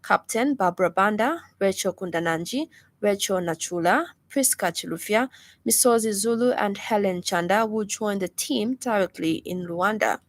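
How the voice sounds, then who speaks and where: A woman's voice: mid-range voice, moderate pace. A woman with a Zambian accent reading scripted news and weather as a radio presenter. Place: radio studio.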